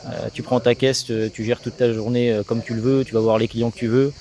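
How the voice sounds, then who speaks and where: A man's voice: medium pitch, flat delivery, fairly quick, mellow. A man in his thirties from Alsace giving a talkative, casual interview, peppered with business jargon. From Alsace, France. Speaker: sales agency co-founder being interviewed.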